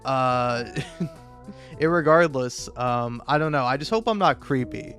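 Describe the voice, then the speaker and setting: A man doing casual, comedic, rambling gaming commentary, halting and stammering, self-deprecating and freely profane. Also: medium pitch, lively intonation, fairly bright tone, faint music behind. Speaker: video creator and host.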